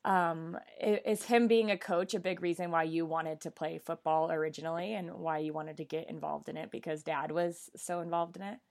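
A woman's voice: low-pitched, steady tone, moderate pace, creaky, hardly any pauses, darkish tone. A woman hosting a podcast, talking in a casual, conversational way as she introduces and interviews a guest.

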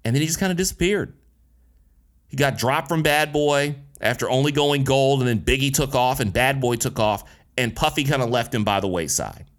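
A man's voice: mid-range voice, moderate pace. A man in his thirties from Detroit, hosting a solo podcast in a casual, candid way, with slang and cursing. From Detroit, USA. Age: 30s. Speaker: podcast host.

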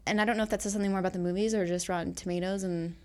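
A woman's voice: mid-range voice, steady tone, rapid-fire, a little mellow, clear, resonant tone. A woman guest co-host discussing films casually, in a conversational and opinionated way.

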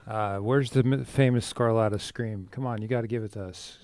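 A man's voice: on the low side, fairly even intonation, brisk, darkish tone, no echo. A man from the band, joking and egging the others on during the interview. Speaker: band member.

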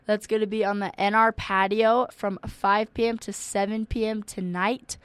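A woman's voice: mid-range voice, smooth, dry recording. A young woman hosting a campus radio show, chatty and full of fillers, her voice worn and cracking now and then. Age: young adult. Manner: chatty, many ums and likes. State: losing her voice, occasional cracks. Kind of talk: casual radio announcements.

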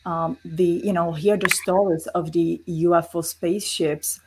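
A woman's voice: fairly low pitch, fairly even intonation, unhurried, noisy background. A woman with a Czech accent, a guest in an interview, explaining her views earnestly with many fillers. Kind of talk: casual interview talk.

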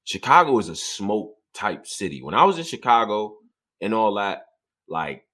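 Animated man in his thirties, interviewing. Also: normal speed. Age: 30s. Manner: animated, joking, swoops high when excited. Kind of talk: casual hip-hop interview chat.

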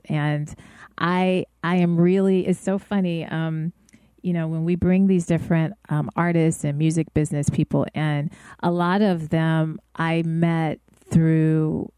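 A woman's voice: on the low side, mellow, clean tone, dry recording. A woman co-hosting a music business podcast, enthusiastic and effusive as she introduces a guest. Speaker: podcast co-host. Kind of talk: casual chat.

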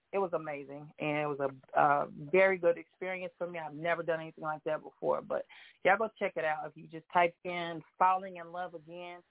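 A woman from Oklahoma calls in as a guest singer, talking softly and haltingly with plenty of fillers. Her voice comes through a thin, phone-like line with a dry, close sound. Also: fairly low pitch, dry recording. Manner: soft-spoken, halting, many fillers. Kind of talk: phone-in interview.

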